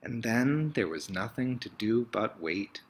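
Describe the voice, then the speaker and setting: A man reading a children's picture book aloud in an expressive, theatrical way, with emphatic swells and dramatic hushes. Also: fairly low pitch, unhurried, poor audio.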